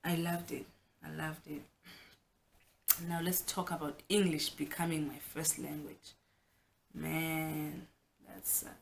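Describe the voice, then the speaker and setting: A young woman with a South African accent and Xhosa as her first language, chatting to her camera at home. Her voice sits low and has a gravelly edge. A faint hiss runs under the recording, and she talks frankly, often restarting mid-sentence. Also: level tone, slow, long pauses. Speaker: vlogger. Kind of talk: casual personal vlog.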